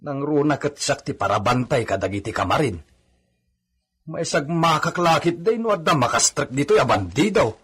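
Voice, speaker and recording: Husky-voiced, earnest male servant, played by an actor. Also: on the high side, expressive, moderate pace, a bit bright, full character voice. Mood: resigned, concerned. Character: deferential, worried male servant of a hacienda. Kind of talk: Ilocano radio drama acting.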